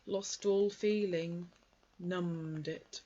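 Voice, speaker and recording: A woman's voice: on the low side, fairly even intonation, drawn-out words, mellow. A woman voicing a gloomy, grumbling old male donkey. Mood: glum. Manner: grumbling, glum. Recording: dull lo-fi recording.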